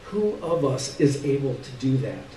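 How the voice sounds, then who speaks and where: A man's voice: mid-range voice, very animated, normal speed, mellow, close to an everyday voice. A man acts out an older uncle from a short story, earnest and full of devotion. He declares things solemnly and with reverence. The sound is roomy, a little distant, with faint noise. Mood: reverent.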